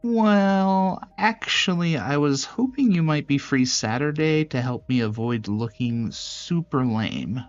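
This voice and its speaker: A man's voice: medium pitch, animated, takes it slowly, hardly any pauses, no echo, highs cut off, soft background music. A man voicing a shy, sweet, apologetic young woman in a visual novel, bashful and rambling. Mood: nervous, sheepish. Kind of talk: visual novel character voice acting.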